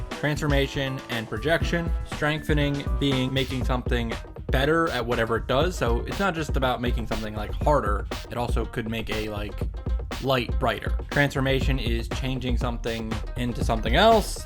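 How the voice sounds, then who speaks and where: Casual, wry man recapping over music. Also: medium pitch. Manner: informal, wry. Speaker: host of visual novel reaction series. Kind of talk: casual recap narration with commentary. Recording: music behind the voice.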